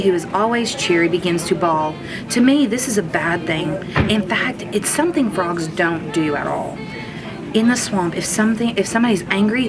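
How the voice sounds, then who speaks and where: Expressive woman reading a storybook over music. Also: fairly low pitch. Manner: expressive, with drawn-out words for emphasis. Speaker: kindergarten teacher reading aloud. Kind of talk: children's storybook read-aloud, first-person narration. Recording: music under the voice. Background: background music.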